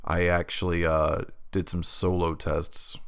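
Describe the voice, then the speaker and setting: A man's voice: sits low, little pitch movement, unhurried, fairly bright tone, clean tone. A young man hosting a podcast alone, getting over a head cold and rambling through an update with lots of fillers. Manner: rambling, with many fillers and restarts. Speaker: podcast host. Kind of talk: casual solo podcast update. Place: his room in a new apartment. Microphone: new home studio mic.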